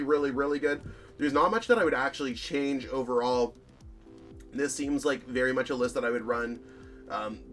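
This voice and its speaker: Guy with a bit of a hoarse voice rambling through deck lists, medium pitch, lots of ums, soft music under him. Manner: chatty, rambling, lots of fillers and self-corrections. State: slightly hoarse. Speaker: channel host reviewing card game deck lists. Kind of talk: casual commentary over deck lists.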